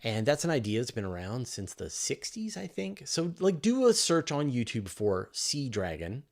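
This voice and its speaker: A man's voice: medium pitch, very animated, moderate pace. A man hosting a live question-and-answer stream, talking casually and thinking aloud as he enthusiastically explains things. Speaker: host of a space Q&A livestream.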